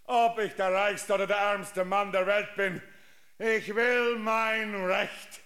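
Proud, stubborn general: high, slow, commanding, theatrical radio drama. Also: male voice, fairly even intonation, bright, forceful tone, exaggerated voice. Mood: defiant, triumphant. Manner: commanding, emphatic declaiming. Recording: echoey room.

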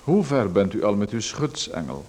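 An actor voices a sinister visitor in a radio play who knows far too much about the man he is calling on. His voice sits somewhat low, and he speaks calmly and with insinuation. He turns polite questions aside and never answers them straight. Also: normal speed. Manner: calm, insinuating, politely evasive. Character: sinister, overly familiar male visitor who watches a playwright.

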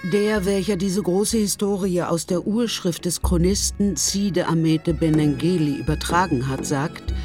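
Male storyteller narrating over music. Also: a little high. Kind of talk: scripted literary radio narration.

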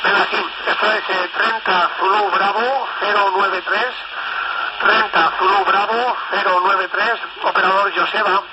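A man's voice: high-pitched, fairly even intonation, a little slow. A man chatting casually over CB radio, enthusiastic and rambling, often repeating himself. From northern Spain. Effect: FM CB radio transmission. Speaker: amateur CB radio operator. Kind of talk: casual radio contact chat. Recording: noisy, dull CB radio transmission. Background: loud radio static and noise.

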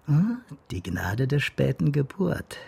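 A middle-aged male detective with a husky, medium-pitched voice. He lays out facts with dry, wry understatement in a naturalistic radio drama. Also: very animated, slow pace, dark tone, full character voice. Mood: dry, knowing. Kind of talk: naturalistic radio play dialogue.

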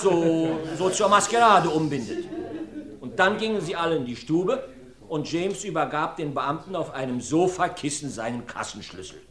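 A man's voice: high voice, fairly bright tone. An actor playing a talkative rustic farmer who rambles through his testimony in a radio play. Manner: folksy, rambling storyteller. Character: rustic male farmer, chatty court witness. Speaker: witness in a courtroom. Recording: roomy studio.

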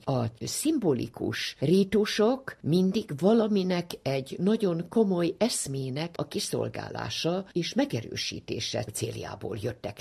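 An older woman with a heavily gravelled, husky voice explains things as an academic expert. She is didactic and emphatic, repeating phrases for weight. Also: extremely low pitch, warm tone. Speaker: psychologist, university professor. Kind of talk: expert explanation in a radio interview.

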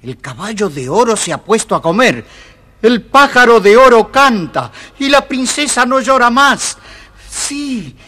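An elderly storybook king with a markedly high voice. He is imperious and fussy, speaking in a fretful, theatrical way as on a children's record. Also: animated, unhurried, pushed and bright, exaggerated voice. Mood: indignant, astonished. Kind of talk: children's audio storybook acting.

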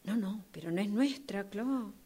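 A woman playing an excitable, hesitant, pious woman in a radio play, with a husky voice and wide-eyed exclamations. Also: mid-range voice, normal speed, natural voice. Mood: anxious. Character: excitable, hesitant, pious working-class woman finding money.

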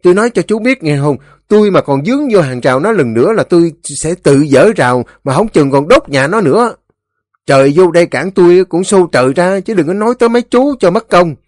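A man voicing a belligerent, boastful village drunkard in a storybook, cursing roughly with a Southern Vietnamese accent. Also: mid-range voice, animated, brisk, fairly bright tone, no room sound, clean studio sound. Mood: indignant, ranting. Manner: blustering, cursing, rough rural speech.

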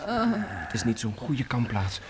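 A man's voice: mid-range voice, huge pitch swings, takes it slowly, background noise. An actor playing an earnest, dutiful fourteen-year-old pioneer boy in a radio play, polite and slightly hesitant. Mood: dutiful, subdued. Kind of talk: Dutch radio play acting.

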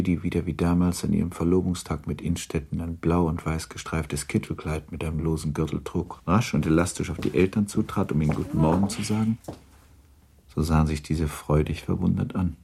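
Radio drama narrator: man with a very deep, husky voice, restrained and measured literary reading. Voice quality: husky, mellow.

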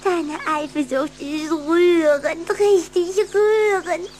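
A plucky high-voiced vampire girl. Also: steady tone, slow pace, background noise. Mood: teasing. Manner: pert, coquettish, defiant. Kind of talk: German radio play acting.

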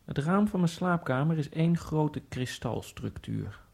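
A gravelly, brooding lonely skater. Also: male voice, on the high side, expressive, unhurried, a little mellow, heavily acted. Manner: wry, brooding inner monologue. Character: lonely immigrant man, obsessive speed skater. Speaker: first-person narrator of a radio play. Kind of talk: radio drama monologue.

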